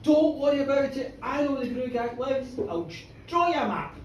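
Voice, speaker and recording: Storyteller as a high-voiced kindly wee old woman. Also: expressive, moderate pace, roomy sound, recorded from a distance, lo-fi sound, slight background noise. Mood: comforting.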